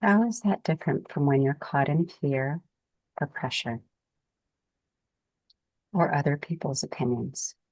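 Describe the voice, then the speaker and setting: A woman leading a guided meditation as its guide, speaking calmly and soothingly in gentle, unhurried phrases with pauses. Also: sits low, dry recording, poor audio.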